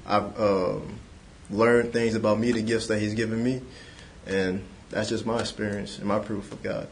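A twenty-five-year-old man co-hosting a talk, speaking in a measured way with frequent pauses. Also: fairly low pitch, little pitch movement, slight background noise. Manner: measured, pausing with "um". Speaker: podcast co-host. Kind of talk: casual faith conversation.

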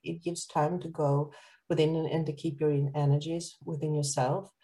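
A woman interviewing a guest in a calm, reflective way, with slightly non-native phrasing. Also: sits low, moderate pace, poor audio. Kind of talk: casual conversational interview.

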